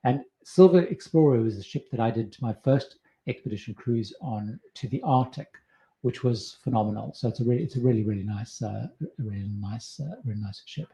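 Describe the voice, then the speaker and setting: A man's voice: mid-range voice, brisk, warm tone. A middle-aged man hosting a casual live question-and-answer stream, talking loosely with many fillers and restarts. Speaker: livestream host answering viewer questions.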